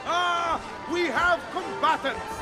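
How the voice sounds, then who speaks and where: A flamboyant Venetian games announcer in a video game cutscene, his high voice rising over a cheering crowd. He speaks with an Italian accent and a showman's booming patter, with loud festival music pressing behind him. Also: slow pace. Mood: rousing. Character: boisterous Venetian carnival game announcer man.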